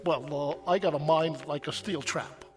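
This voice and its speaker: A man's voice: on the high side, normal speed, music in the background, natural voice. A man voicing a cheeky, boyish ventriloquist's dummy trading corny, stammering wisecracks in a radio play. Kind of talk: vaudeville comedy patter in a radio play.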